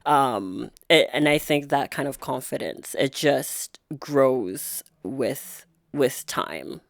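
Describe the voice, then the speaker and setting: A woman nurse talks as an interview guest in a low voice. She speaks slowly and thoughtfully, pausing often with soft fillers. The sound is dry and close. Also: lively intonation, vocal fry, no room sound. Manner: soft-spoken, thoughtful, with frequent pauses and fillers. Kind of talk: reflective interview conversation.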